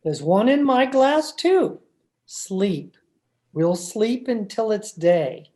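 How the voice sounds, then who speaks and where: Man playing a slow, scheming henpecked husband, swooping pitch. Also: sing-song, a little mellow, full character voice. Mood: coaxing, sly. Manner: coaxing, deliberate, repetitive. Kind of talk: old-time radio horror acting.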